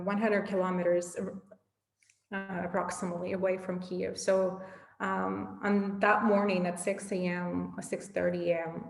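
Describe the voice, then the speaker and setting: A woman tells her story earnestly, in a voice with a gravelly edge. She is a Russian speaker talking in a casual interview. The sound carries a roomy, echoing reverb. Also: fairly low pitch. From southern Ukraine. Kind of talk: casual conversation.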